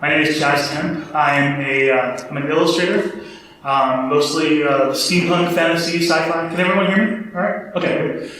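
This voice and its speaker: A man from Chicago introducing himself as an illustrator on a panel, hesitant and low-key. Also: moderate pace, a bit bright, a little hiss or hum. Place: large convention room. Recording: echoey room, far from the mic.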